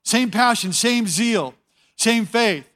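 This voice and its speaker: A man's voice: very high-pitched, slow, a bit bright. A man preaching a sermon as a pastor, talking conversationally, repeating his words and often telling listeners to watch.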